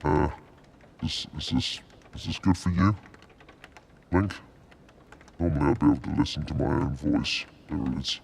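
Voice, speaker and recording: A man chatting casually to stream viewers in a halting way, with faint noise behind him. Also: a bass voice, vocal fry, darkish tone. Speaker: live streamer.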